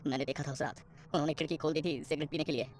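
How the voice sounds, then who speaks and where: A woman voicing a grave, superstitious male morgue attendant giving ominous warnings in an animated horror story. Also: sits low, racing, natural voice.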